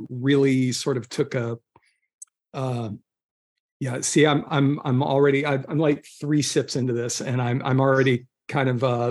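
Male guest author, southern California native, mid-range voice, informal storyteller with a halting, self-interrupting delivery. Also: mid-range voice, darkish tone. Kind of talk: casual conversational storytelling.

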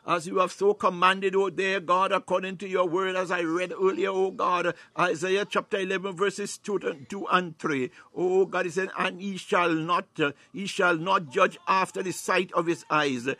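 A man's voice: high-pitched, little pitch movement, normal speed, hardly any pauses, bright, forceful tone, no echo. A man leading fervent, unscripted prayer and preaching, declaiming scripture with repeated devotional interjections. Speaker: prayer leader.

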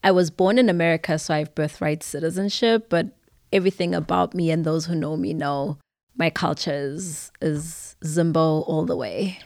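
A woman's voice: sits low, moderate pace, gravelly, darkish tone, dry recording, studio quality. A young adult woman from Zimbabwe with a Zimbabwean accent, talking thoughtfully as a podcast guest. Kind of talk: casual conversation.

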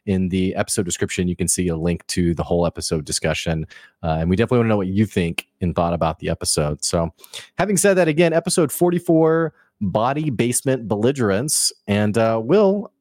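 A young man co-hosting a fan podcast, easygoing and quick to chuckle as he chats. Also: on the low side, a little mellow, no echo, studio quality. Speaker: podcast co-host. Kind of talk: casual chat.

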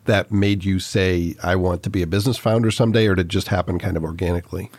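A man's voice: sits low, fairly even intonation, darkish tone, dry recording. A man hosts a podcast interview. He talks in a friendly, conversational way, and the dry close-mic sound has almost no room to it. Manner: friendly, asks questions with quick agreeing replies. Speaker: podcast host.

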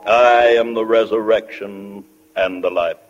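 An actor playing a solemn minister reciting a funeral service in a 1940s radio drama. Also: male voice, fairly low pitch, fairly even intonation, unhurried, lightly acted. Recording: dull 1940 radio recording, music behind.

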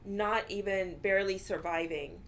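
A woman's voice: mid-range voice, fairly even intonation, moderate pace, slight background noise. A woman therapist whose first language is Urdu, talking earnestly in a casual interview and often saying "you know.".

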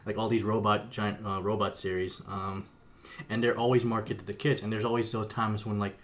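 A man's voice: fairly low pitch, little pitch movement, brisk. A man co-hosting a casual podcast, talking in a blunt, joking, sweary way. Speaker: podcast co-host. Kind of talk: casual chat.

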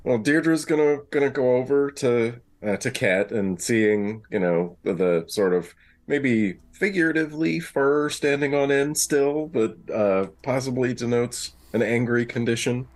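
A man's voice: mid-range voice, steady tone, measured pace, darkish tone. A man playing a tabletop roleplaying game, chatting casually and improvising as he goes. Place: remote online call.

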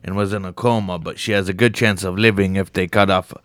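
A hoarse man co-hosting a casual podcast, talking haltingly with fillers. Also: low-pitched, steady tone, a bit bright, no echo, studio quality. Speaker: podcast co-host. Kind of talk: casual chat.

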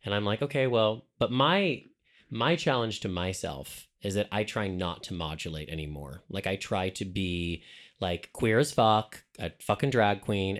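A man co-hosting a podcast, talking candidly and animatedly, swearing freely. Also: lowish voice. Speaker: podcast co-host. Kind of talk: casual podcast conversation.

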